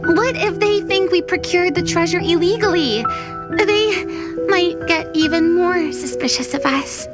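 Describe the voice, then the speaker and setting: A woman voicing a sweet, timid, earnest young girl with a girlish voice in a video game. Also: unhurried. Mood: worried. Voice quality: girlish, very high. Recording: music behind the voice.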